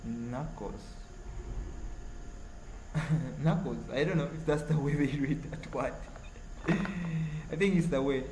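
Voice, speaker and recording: A man with a Ugandan accent chatting casually on a livestream as he answers viewers, in a halting, repetitive, earnest way. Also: fairly high pitch, a little mellow, poor audio. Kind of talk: casual live chat with viewers, reading out messages. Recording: noticeable background noise.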